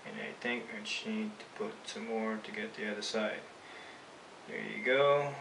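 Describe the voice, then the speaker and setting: A man's voice: on the low side, steady tone, normal speed, creaky. A man with a Filipino accent giving an informal hands-on tutorial, casually talking through each step as he works. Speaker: screen printing instructor. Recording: echoey room, distant mic, faint noise.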